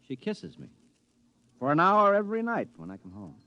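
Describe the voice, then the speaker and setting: A man's voice: high-pitched, wildly swooping pitch, moderate pace, sharp tone, dry recording, full character voice. An actor playing a weary, wisecracking married man, folksy and skeptical, in a 1950s radio drama. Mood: weary, wry. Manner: wry, folksy, incredulous. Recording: dull 1950s radio recording.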